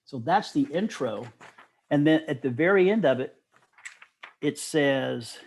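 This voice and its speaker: A middle-aged man telling stories casually as an interview guest, with an animated, enthusiastic manner. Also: fairly high pitch, measured pace.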